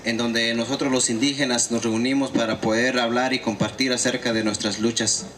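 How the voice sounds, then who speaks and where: Guy interpreting for a speaker, fluent and steady, bit of background noise. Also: mid-range voice, normal speed. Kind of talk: consecutive interpreting. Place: outdoor gathering.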